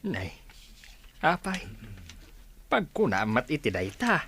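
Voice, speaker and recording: An actor playing a friendly, fussing village worker in an Ilocano radio comedy drama, with an Ilocano accent and exclamatory delivery. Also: male voice, on the high side, huge pitch swings, takes it slowly, a bit bright, breathy edge, full character voice. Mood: chiding, concerned.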